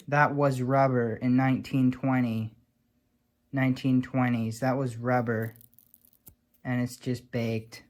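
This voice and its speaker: A man with a mid-pitched voice talks casually and slowly as he shows things off. His delivery is dry and wry, an unscripted vlogger's commentary with plenty of time between thoughts. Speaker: vlogger showing old radio repairs.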